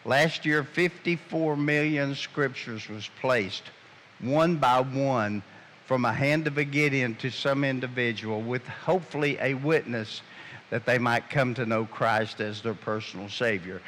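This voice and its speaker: A man giving a guest address in a church, earnest and measured in a preacherly way. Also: medium pitch, fairly bright tone, a little hiss or hum. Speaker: guest speaker. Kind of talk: sermon-like appeal. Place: church sanctuary.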